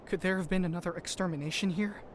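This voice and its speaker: An actor playing an earnest, compassionate doctor in a science-fiction audio drama. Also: male voice, sits high, fairly even intonation, fast, a little hiss or hum, close to an everyday voice. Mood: worried, urgent. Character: earnest grieving male doctor, cautious and compassionate sci-fi traveller.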